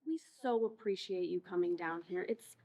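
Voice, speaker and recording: A woman's voice: fairly low pitch, moderate pace, mellow, lightly acted. A woman playing a courteous, gushing school staff member in a stage play. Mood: welcoming, polite.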